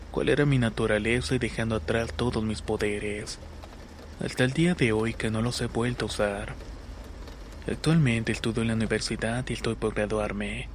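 A man narrating a scripted scary story in a measured, serious reading. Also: fairly low pitch, a little hiss or hum. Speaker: narrator of listener-submitted horror stories.

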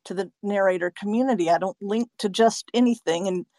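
A woman's voice: mid-range voice, brisk, warm tone, no room sound. A woman speaking conversationally as an interviewer, earnest and agreeable in manner. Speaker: interviewer, narrator and website owner.

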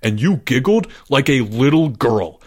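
A man's voice: mid-range voice, very animated, a little slow, a little mellow. A man voicing a condescending, combative schoolboy who brags and snaps in a storybook reading. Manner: condescending, snappy. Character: cocky, condescending schoolboy Albert, bragging and combative.

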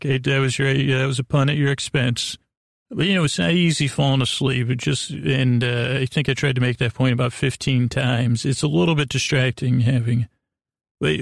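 A man with a creaky, gravelly voice hosting a bedtime podcast in a meandering, halting, lulling monologue that repeats itself and trails off. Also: medium pitch, no echo, studio quality. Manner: lulling, soothing delivery. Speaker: podcast host. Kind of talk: rambling bedtime storytelling monologue.

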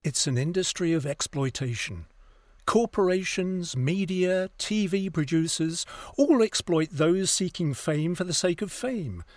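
A man's voice: high-pitched, expressive, measured pace, dry recording, clean studio sound. A man playing a scathing, articulate cultural critic debating on a broadcast news panel in an audio drama. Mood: earnest, critical. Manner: articulate, opinionated.

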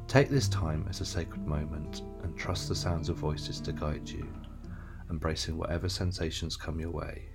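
A man reading a scripted introduction to an audio piece in a measured, instructional way, with a low, gravelly voice. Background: plucked guitar music.

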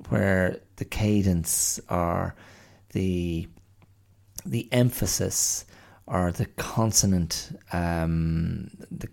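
Deep gravelly Dublin-accented man. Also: darkish tone. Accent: middle-class Dublin. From Ireland. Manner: musing, wry, thinks aloud. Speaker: podcast host. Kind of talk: reflective solo monologue.

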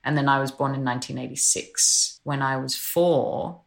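A woman's voice: a bass voice, steady tone, normal speed, creaky. A woman, a drummer talking thoughtfully in an interview. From Australia. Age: 30s. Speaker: drummer, guest. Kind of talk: relaxed reflective conversation.

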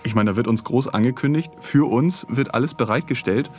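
A man's voice: medium pitch, a little fast, a little mellow, faint music behind. A man hosting a podcast, retelling things in a casual, humorous, chatty way. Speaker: podcast host.

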